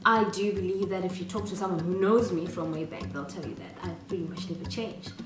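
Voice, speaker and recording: A woman's voice: lowish voice, brisk, reverberant, distant mic, over music. A woman in her late twenties from Zimbabwe, giving earnest, encouraging advice to new university students with rhetorical questions. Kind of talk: advice vlog, direct address.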